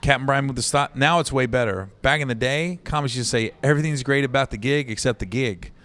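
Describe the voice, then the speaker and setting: A man's voice: medium pitch, fast talker. Comedian guy telling road stories, keeps going "right?", acts out what people said. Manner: animated storyteller, acts out quotes, says "right?" often. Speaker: comedian guest. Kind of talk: casual podcast chat.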